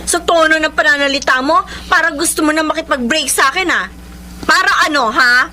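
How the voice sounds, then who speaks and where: A woman's voice: high voice, fairly quick, pushed and bright, a little hiss or hum. An actress playing a paranoid, jealous young Filipino girlfriend who nags and accuses in a radio drama. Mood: jealous, demanding. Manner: accusing, whiny, nagging.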